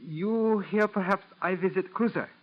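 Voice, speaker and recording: Man acting a sly, high-voiced Japanese chauffeur. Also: lightly acted. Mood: slyly probing. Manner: broken English, halting, probing questions. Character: suspicious, sly Japanese chauffeur, falsely humble. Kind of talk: 1940s radio acting. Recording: dull 1940s radio recording.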